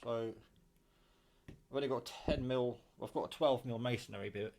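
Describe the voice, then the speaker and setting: A man's voice: medium pitch, moderate pace, creaky, darkish tone. A British man talking casually and off the cuff in his kitchen, rambling informally as he shows a hobby project. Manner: rambling, informal, mildly sweary. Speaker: hobbyist vlogger presenting own radio build. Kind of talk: casual unscripted demonstration talk. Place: home kitchen.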